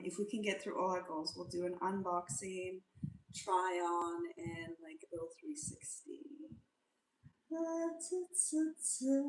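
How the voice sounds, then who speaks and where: A woman hosts a casual livestream and greets her viewers one by one. She talks at an easy, slow pace and slips into little sing-song hums between remarks. The room around her sounds noticeably echoey. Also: mid-range voice, slight background noise. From South Africa. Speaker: livestream host.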